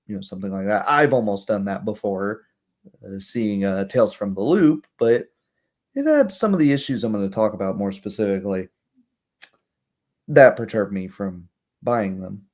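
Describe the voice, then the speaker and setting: A young man hosting a livestream with casual commentary, speaking in a rambling, halting way and often checking in with tag questions. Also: lowish voice, expressive, darkish tone. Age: young adult. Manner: rambling, halting asides, frequent "right?" tags. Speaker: livestream host.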